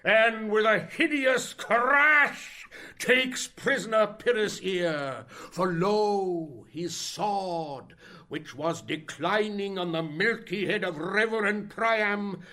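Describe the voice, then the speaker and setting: A man playing a travelling stage actor, reciting a tragic war speech in Shakespearean verse with solemn fervour and dramatic, measured declamation. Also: high-pitched, bright, forceful tone, full character voice. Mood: hushed suspense.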